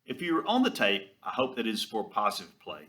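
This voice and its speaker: A man's voice: fairly low pitch, sing-song, brisk, bright, forceful tone. A man reads a scripted introduction as the presenter of a training film.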